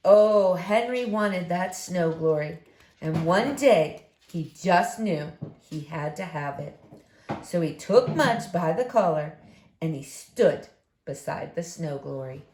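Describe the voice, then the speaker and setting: Expressive woman reading slowly to children, echoey. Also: fairly low pitch, a little hiss or hum. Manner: expressive, addressing young listeners. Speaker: story reader for children. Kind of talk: picture book read-aloud. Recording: echoey room.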